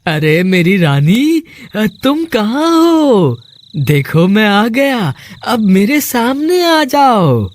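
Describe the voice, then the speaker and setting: A man's voice: high voice, very animated, drawn-out words, exaggerated voice. A man playing a gullible, lovestruck and easily frightened villager, exclaiming melodramatically in a cartoon horror comedy. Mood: eager, puzzled.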